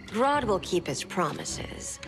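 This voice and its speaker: A woman playing a cool, menacing female eco-villain in a video game, haughty and deliberate. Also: mid-range voice, big pitch swings. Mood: cool menace. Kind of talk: video game cutscene acting. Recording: music behind.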